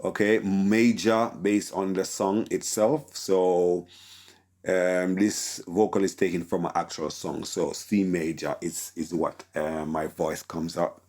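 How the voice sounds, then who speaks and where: A man with a deep voice teaching a casual tutorial on mixing vocals, with a relaxed, filler-heavy delivery full of "okay?". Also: slow. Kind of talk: casual screen-recorded tutorial.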